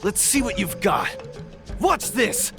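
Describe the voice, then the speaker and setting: Young male game thief: sneering, melodramatic, very animated, music under. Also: high voice, slow pace, gravelly, full character voice. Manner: brash, melodramatic. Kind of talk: video game voice acting.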